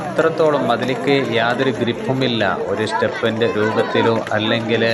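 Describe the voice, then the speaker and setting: A man narrating a video for viewers in deliberate, halting phrases. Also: on the low side. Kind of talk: explanatory voice-over narration addressed to viewers. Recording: loud crowd and outdoor noise behind.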